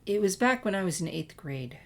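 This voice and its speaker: A woman's voice: medium pitch, big pitch swings, fairly quick, pitched above the performer's own voice. A woman voicing a regretful teenage girl who confesses past scheming in a hesitant, reflective way, in a story reading. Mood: reflective, rueful.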